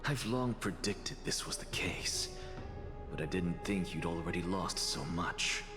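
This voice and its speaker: A man voicing a sardonic, weary, dying scholar in a video game, speaking in a deliberate, haughty and theatrical way. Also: sits low, lively intonation. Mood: wry, candid. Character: sardonic, dying one-eyed male scholar, weary and candid. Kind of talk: scripted game voice acting. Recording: long hall reverb, loud music.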